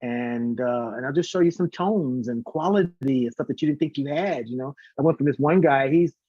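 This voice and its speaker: A man who sings and teaches voice, talking as a guest in a casual live interview, telling stories in an animated way and acting out what people say. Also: medium pitch, normal speed, slightly rough, no echo, muffled recording. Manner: talkative, animated storytelling with acted-out quotes and demonstrations.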